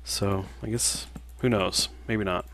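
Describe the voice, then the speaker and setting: A man in his mid-thirties talks through a casual gameplay stream in a somewhat low voice. He is relaxed and unhurried, often pausing on "um" as he answers viewer questions between moves. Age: 30s. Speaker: livestreaming gamer and game developer. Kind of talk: casual livestream chat while playing.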